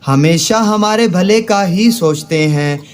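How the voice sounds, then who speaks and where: Male storyteller for kids' moral tales, high-pitched voice, very unhurried pacing. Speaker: narrator. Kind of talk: scripted children's moral story narration.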